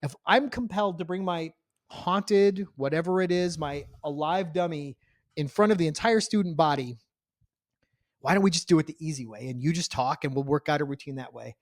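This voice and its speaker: Male podcast co-host, middle-aged, fairly high voice, wry storyteller, casual banter, dry close-miked sound. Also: moderate pace, no echo. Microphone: close podcast microphone.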